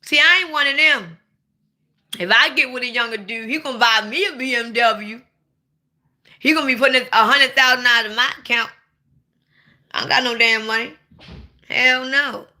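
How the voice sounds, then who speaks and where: Bright-voiced playful woman in her forties. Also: on the high side, moderate pace, pauses often. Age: 40s. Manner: blunt, playful, swings to sudden loud outbursts. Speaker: livestream host. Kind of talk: casual livestream chat.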